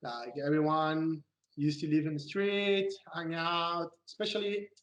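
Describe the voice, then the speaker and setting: A man's voice: a little high, fairly even intonation, measured pace, a bit bright, far from the mic, dull audio. A man from Barcelona with a Spanish accent, chatting in an easygoing, joking way as a guest. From Barcelona, Spain. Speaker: podcast guest, dance instructor. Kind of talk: casual chat.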